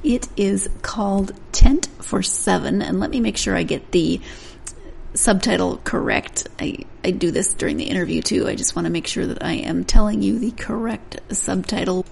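A woman's voice: mid-range voice, moderate pace, vocal fry, a little mellow, slight background noise. A woman hosting a book podcast, chatting through an intro and catching her own slips with a laugh. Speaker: podcast host. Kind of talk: solo intro to a book interview.

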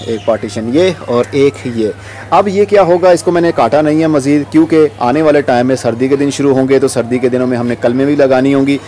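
A man's voice: medium pitch, a little mellow. A man hosting a gardening vlog, giving a casual walkthrough outdoors on a rooftop terrace, recorded on a handheld camera. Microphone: handheld camera microphone. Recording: outdoor ambient noise, light urban background.

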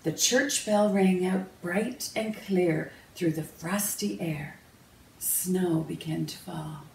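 A woman reading a children's picture book aloud in a measured, expressive storytelling manner. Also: on the low side, gravelly. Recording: roomy, distant mic, faint noise.

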